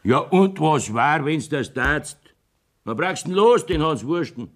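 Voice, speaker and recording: Blustering Bavarian mayor, very animated. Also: male voice, fairly high pitch, a little slow. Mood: jovial, scheming. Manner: earthy, folksy, blustering. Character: wily, blustering village mayor, jovial and evasive. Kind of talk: dialect radio play acting.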